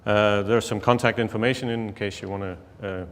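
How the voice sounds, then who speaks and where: Danish man giving a relaxed talk. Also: on the low side, fairly quick. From Denmark. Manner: relaxed, hesitant, many fillers. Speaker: conference speaker. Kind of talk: casual conference talk. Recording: long hall reverb.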